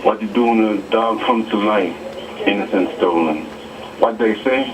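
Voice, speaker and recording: Lowish-voiced male caller, noisy line. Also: moderate pace. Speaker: radio show caller. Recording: noisy radio phone call, distant.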